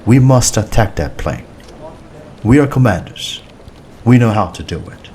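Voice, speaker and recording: A man voicing a forceful, impatient army colonel in an audiobook, insistent and military in manner. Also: fairly low pitch, animated, measured pace, a little hiss or hum. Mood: urgent, insistent. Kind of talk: audiobook character dialogue.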